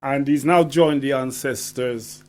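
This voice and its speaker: A man's voice: medium pitch, a little slow, soft background music. A man chatting casually as a guest on the radio, often adding tag phrases. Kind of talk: casual radio conversation with performed dub-poetry libation.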